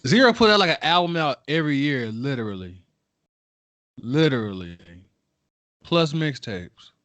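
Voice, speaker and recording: A man's voice: fairly high pitch, animated, a little slow, fairly bright tone, no echo. A man co-hosting a casual podcast chat in a teasing, playful way. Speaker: podcast co-host.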